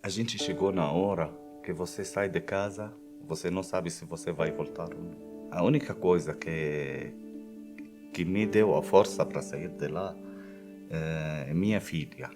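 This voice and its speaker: A man in his late thirties with a Syrian accent speaks in a low, deep voice, searching for his words as he gives his testimony. Music plays clearly behind him. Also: a little slow. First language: Arabic. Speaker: refugee, small business owner. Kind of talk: testimonial in a documentary.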